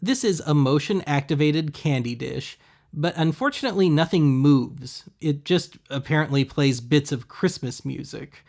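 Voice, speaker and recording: Male host, somewhat higher-pitched with plenty of vocal fry, sardonic, casual voice-over commentary. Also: lively intonation, normal speed, a little mellow. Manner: wry, sarcastic. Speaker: host of a thrift-shopping video series.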